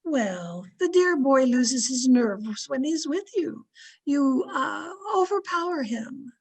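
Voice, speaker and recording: Lady acting as sweet meddling old auntie, high husky voice, coaxing n reassuring, old radio play. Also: animated, slow pace, a little mellow, exaggerated voice. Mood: coaxing, confiding. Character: kindly, meddling older aunt playing matchmaker. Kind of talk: old-time radio comedy-drama acting. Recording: video-call radio play recording.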